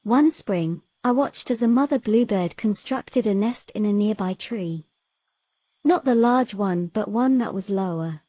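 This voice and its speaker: Woman acting a young mermaid, sorrowful, gentle, halting, medium pitch, audio drama storytelling, dry slightly muffled sound. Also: no room sound, lightly acted. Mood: wistful. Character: sorrowful young mermaid recalling her lost family.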